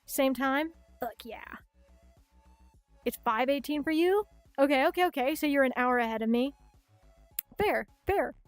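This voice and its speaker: A young woman with a Chicago-style Midwestern accent, raised in Minnesota and South Dakota, chatting quickly and swearing freely as a livestream host late at night from her bedroom. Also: high-pitched, no room sound, faint music behind. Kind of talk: casual late-night stream chat. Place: hot bedroom at home.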